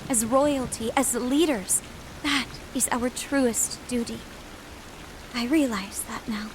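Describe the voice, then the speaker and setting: An actress playing a noble young princess in a game, speaking formally and earnestly with a dignified, dutiful manner. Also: female voice, fairly high pitch, steady tone, noisy background. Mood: solemn. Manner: formal, regal, measured delivery. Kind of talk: earnest dramatic game acting.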